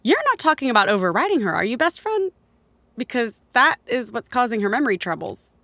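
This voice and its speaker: A quirky, socially naive girlish techie from a cyberpunk audio drama. She is very high-pitched and highly animated, chatty and eager to please, on a dry close recording. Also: fairly quick, no echo. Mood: anxious, affectionate.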